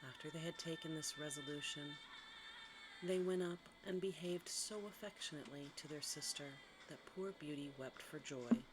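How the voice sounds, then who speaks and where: A woman softly reading a fairy tale as a quiet ASMR bedtime storyteller. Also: low-pitched, moderate pace, very noisy. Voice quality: soft, quiet.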